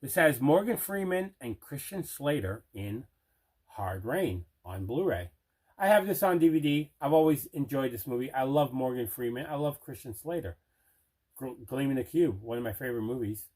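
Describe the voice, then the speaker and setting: A man hosts an unboxing in a casual, chatty way. His voice sits at a middling pitch. He often repeats words to stress a point. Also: expressive, moderate pace, darkish tone. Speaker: host of an unboxing video show.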